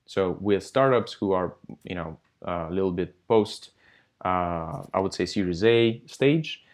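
Casting: low-voiced man, 30s, tech founder, halting answers. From Russia. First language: Russian. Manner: halting, lots of fillers. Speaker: guest, software company founder. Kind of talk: casual interview answers.

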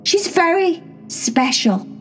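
A woman voicing an excitable, chatty young female cat who gushes and rambles in a storybook. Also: sits high, measured pace, soft background music, voice pushed up. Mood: excited. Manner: gushing, breathless, rambling.

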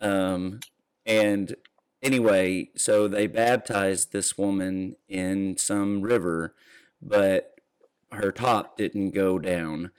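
Host guy, kinda low voice, rambles through old stories, laughs along. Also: extremely slow, clean tone. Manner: rambling, animated storytelling with laughs. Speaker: podcast host. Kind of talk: casual interview.

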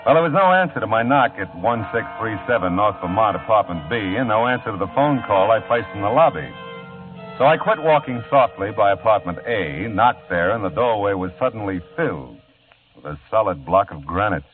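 A wry, easygoing private eye, played by an actor, narrating his case in a deep voice that moves a lot in pitch. The hard-boiled delivery stays laid-back. It is an old radio broadcast, tinny and phone-like, with clear music behind. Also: expressive, brisk, lightly acted. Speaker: narrator and lead character. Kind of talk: 1950s radio drama acting.

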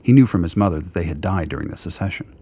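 A man narrating a scripted radio drama in a measured, literary storytelling manner. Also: low-pitched, animated, darkish tone, slightly rough.

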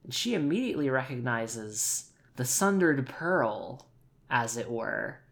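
A woman's voice: a bass voice, very animated, measured pace. A woman improvising narration for a tabletop roleplaying game, thinking aloud and often repeating her phrases as she finds the words. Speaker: player in an actual play tabletop game.

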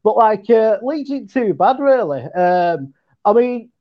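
Casting: man with a West Yorkshire accent, high voice, chatty guest, dull lo-fi call audio. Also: very animated. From West Yorkshire, England. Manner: chatty, stumbles and restarts, quick bursts. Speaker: podcast guest. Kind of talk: casual chat.

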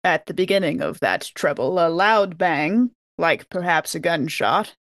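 A woman's voice: lowish voice, lively intonation, measured pace, dry recording, clean studio sound. A woman improvising in role-play as a player in a tabletop game.

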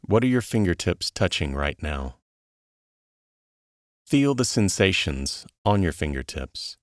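A man narrating a guided relaxation in a calm, soothing, measured way, leaving long pauses between instructions. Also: sits low, dry recording, studio quality.

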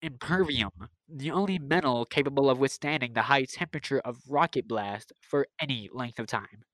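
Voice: medium pitch, normal speed, fairly bright tone, no room sound, close to an everyday voice. An amateur player acting an eager, proud scientist-inventor in an old-time radio serial, explaining things in wordy technical detail. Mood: lecturing.

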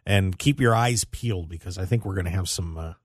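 Low-voiced man hosting casual sports talk radio. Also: fairly quick, no echo, studio quality. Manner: conversational, plugging show to listeners. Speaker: radio show host.

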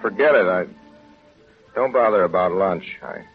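A man's voice: sits low, expressive, moderate pace, soft background music. An actor playing a plainspoken, earnest small-town man in 1930s radio acting style, on a tinny, noisy broadcast. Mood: hurt.

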